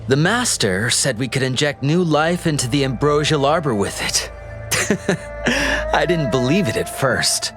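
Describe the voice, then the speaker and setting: An actor playing a sly, gleefully unhinged cultist prisoner in a video game, speaking in a theatrical, reverent, giggling manner. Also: male voice, on the high side, very animated, a little slow, fairly bright tone, faint music behind. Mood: rapturous. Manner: theatrical, giggling, lingering reverently over words. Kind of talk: dramatic video game voice acting.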